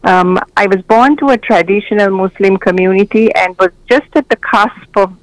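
An older woman from Sri Lanka, a guest telling her story in a measured, explanatory way. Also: mid-range voice, no room sound, rough recording. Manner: measured, explanatory, with small restarts. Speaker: guest, memoir author and mental health counselor. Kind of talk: reflective interview storytelling.

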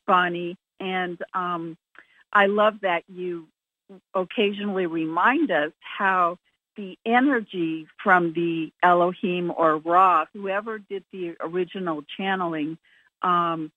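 A woman with a lowish voice hosts a conference call and introduces the next speaker. She comes through a tinny phone line with a dry sound. Her delivery is smooth and orderly. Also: no echo. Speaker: host.

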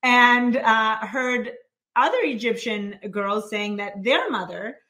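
Woman guest from Canada on a remote call; bright, fairly high voice; laughing storyteller with lots of fillers. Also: slow pace. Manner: warm storyteller, laughs often, many fillers. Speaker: guest, financial planner and author. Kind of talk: podcast interview.

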